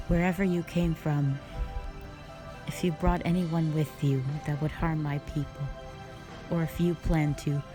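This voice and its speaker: A woman playing a stern, calm, duty-bound dragon guardian, speaking formally and solemnly in a scripted roleplay audio drama. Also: sits low. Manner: measured, solemn, formal phrasing.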